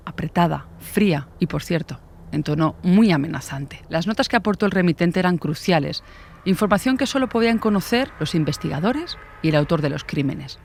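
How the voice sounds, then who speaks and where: A man from narrating scripted true crime as a dramatic storyteller with rhetorical asides. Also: a little high.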